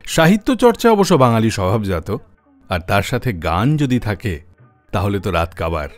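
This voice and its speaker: A man's voice: lowish voice, huge pitch swings, a little fast. A man presenting a radio music show, narrating stories from a script.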